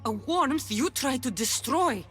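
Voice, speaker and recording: An actress playing a distrustful, defiant ancient Greek mercenary in a video game, with blunt and guarded delivery. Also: female voice, high-pitched, lively intonation, unhurried, a bit bright. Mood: grim, resolute. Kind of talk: video game dramatic acting. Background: music.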